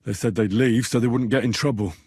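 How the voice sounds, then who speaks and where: Male actor for young British medieval game hero: husky, down-to-earth, earnest servant lad, very dry sound. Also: mid-range voice, a little fast, darkish tone, no echo, lightly acted. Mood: frank, down-to-earth. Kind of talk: scripted game character dialogue.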